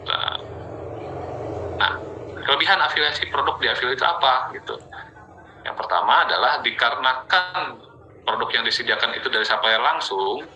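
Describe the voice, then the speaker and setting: A man with a sharp, very bright voice at a middling pitch presents a business training session. He explains things step by step in a conversational way, often adding small tags to check in with listeners. There is faint noise in the recording. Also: animated, moderate pace, long pauses, lo-fi sound. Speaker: presenter of an affiliate marketing training. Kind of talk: informal slide presentation, explanatory sales pitch.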